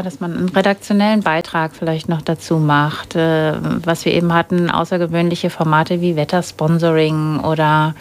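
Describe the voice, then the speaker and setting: A woman in her forties, a guest on a radio show, talking in a relaxed, matter-of-fact way. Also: lowish voice. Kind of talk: casual radio interview.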